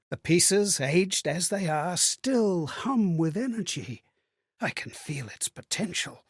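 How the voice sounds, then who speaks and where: An actor voicing an earnest, melancholy male spirit with no memory of his own, speaking a video game monologue in formal, measured, theatrical phrases. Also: a little high, husky, no echo, studio quality, full character voice. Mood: awed, tense. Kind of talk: dramatic scripted game monologue.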